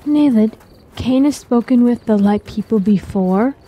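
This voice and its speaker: A concerned, questioning woman in a fantasy radio play, voiced by an actress. Her voice is fairly high yet very dark and soft, with an earnest delivery. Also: unhurried. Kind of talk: radio drama acting.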